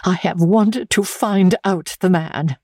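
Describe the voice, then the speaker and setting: A woman's voice: on the low side, slow pace, darkish tone, dry recording, studio quality. A woman voicing a jealous aristocratic husband, cold and vindictive, in a dramatic reading of a classic novel. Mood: threatening.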